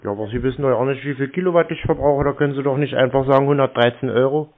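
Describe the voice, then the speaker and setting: A man's voice: medium pitch, racing. A man chatting casually on prank phone calls, answering tersely and playing dumb. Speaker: YouTuber taking scam calls. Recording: dull, muffled phone call audio.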